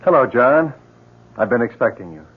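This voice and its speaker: An actor playing a calm, reassuring, friendly family friend in a 1970s radio drama, with a husky, conversational voice. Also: male voice, mid-range voice, moderate pace. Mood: welcoming. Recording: muffled vintage radio broadcast.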